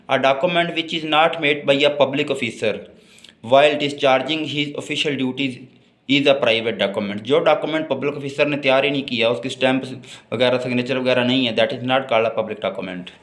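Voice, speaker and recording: A man with a Pakistani accent speaks as a lecturer in a medium-pitched voice. His delivery is explanatory, moving between deliberate reading of formal clauses and brisker explanation in his own words. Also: fairly bright tone. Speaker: law lecturer. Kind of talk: explanatory lecture mixing Urdu-Hindi and English.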